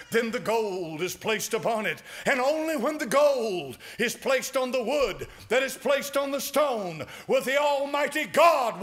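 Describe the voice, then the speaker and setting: An older man preaches from a church pulpit in an American accent. His voice sits very high and bright, and he swings from steady teaching into fervent shouts. He speaks into a pulpit mic. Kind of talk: sermon. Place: church sanctuary.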